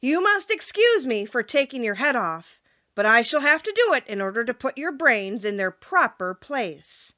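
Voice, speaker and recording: A woman voicing a kindly little male wizard in a storybook, brisk, polite and reassuring. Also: high voice, sing-song, pushed and bright, dull audio, exaggerated voice.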